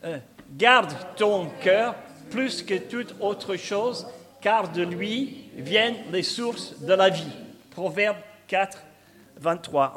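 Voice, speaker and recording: A man's voice: sits high, a bit bright. A man in his sixties with a Scottish accent, preaching a chatty sermon sprinkled with asides and humor. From Scotland. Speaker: pastor.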